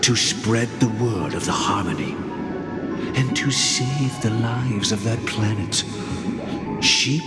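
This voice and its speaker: A stately older master from a video game, grave and authoritative. He speaks slowly and formally, each deliberate phrase echoing in a big reverberant hall over loud music. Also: mid-range voice, expressive. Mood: solemn, measured. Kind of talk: dramatic video game cutscene dialogue.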